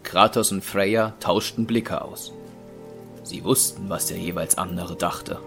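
A man narrating an audio drama as a solemn storyteller, with deliberate, grave delivery. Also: deep voice, fairly even intonation, vocal fry, fairly bright tone, faint music behind, heavily acted.

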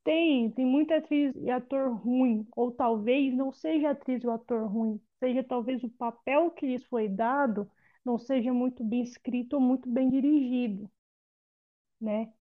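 A fairly high-voiced woman gives her opinion on film acting in a loose, chatty way, restarting her sentences often. The sound is dull and lo-fi, like a remote call. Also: unhurried. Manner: opinionated, talks it through with many restarts. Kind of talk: casual film review chat.

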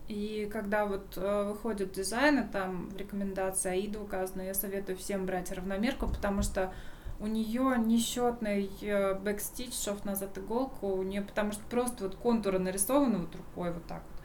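A woman's voice: medium pitch, normal speed, slight background noise. A woman guest on a podcast, an embroiderer and shop owner, chatting casually and laughing often as she tells stories. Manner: lively storyteller, laughs often.

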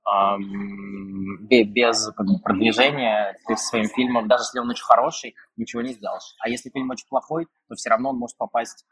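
A man's voice: mid-range voice, steady tone, brisk, a bit bright, low-quality recording. A man talking casually as the guest of a podcast, explaining at length with restarts. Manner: talkative, restarts and fillers while explaining. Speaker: podcast guest, film director. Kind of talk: casual conversation.

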